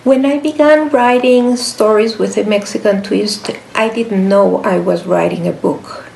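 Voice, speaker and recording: Woman, author talk, reflective, deliberate phrasing, slow pace, roomy echoing sound, music behind. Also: mid-range voice, gravelly, darkish tone. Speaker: author presenting own book. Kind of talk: reflective book presentation with short readings.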